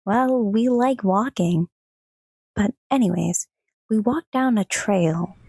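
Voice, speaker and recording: Woman playing gentle, slow-spoken young girl, soft-toned. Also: medium pitch, slightly rough, no echo. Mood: casual, friendly. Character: sweet, trusting daughter, child to mid-teens, telling family stories. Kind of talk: audio drama dialogue.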